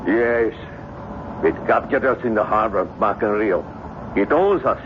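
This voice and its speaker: An actor playing a fearful, enslaved ship's captain in old-time radio drama, with a halting, ominous delivery. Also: male voice, fairly low pitch, lively intonation. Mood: grim resignation. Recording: muffled, noisy vintage radio recording.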